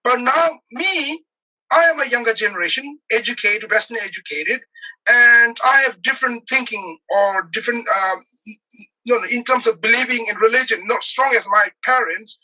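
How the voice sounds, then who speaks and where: Bright-voiced, halting man from Nepal. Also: very high voice, poor audio. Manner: hesitant, halting, many pauses and fillers. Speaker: guest, activist. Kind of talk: earnest personal account in an interview.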